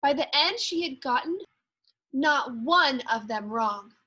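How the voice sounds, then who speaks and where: A woman who teaches second grade, reading a picture book aloud with expressive, deliberate storytelling and dramatic emphasis. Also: high-pitched.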